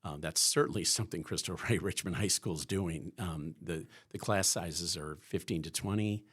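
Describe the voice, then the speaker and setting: Low-voiced husky man from Chicago. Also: normal speed, fairly bright tone. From Chicago area. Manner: rambling, many restarts and fillers. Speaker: guest, school staff member. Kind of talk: conversational podcast interview.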